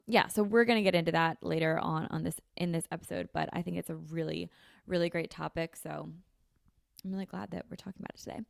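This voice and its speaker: Chatty young woman with gravelly fry. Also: fairly low pitch, no echo, clean studio sound. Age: 20s. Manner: chatty, rambling, peppered with "like" and "um". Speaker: podcast host. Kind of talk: casual solo podcast chat. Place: home kitchen, indoors. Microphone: handheld dynamic mic held close.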